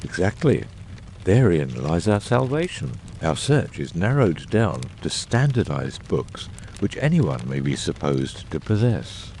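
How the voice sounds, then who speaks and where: A man acts out a brilliant middle-aged detective in an audiobook drama, with a very low, creaky voice. He is exacting and theatrical, phrasing eruditely with wide swings of pitch and deliberate pauses. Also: big pitch swings, darkish tone, a little hiss or hum, exaggerated voice. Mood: analytical.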